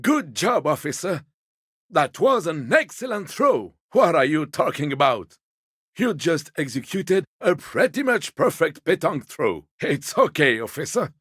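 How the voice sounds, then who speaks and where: An actor playing an elderly, polite and evasive old man in a video game, speaking in a mild, apologetic and deferential way with a husky voice. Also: on the high side, sing-song, a little slow, fairly bright tone, no room sound, clean studio sound, heavily acted. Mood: apologetic, placating. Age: older. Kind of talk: video game character dialogue.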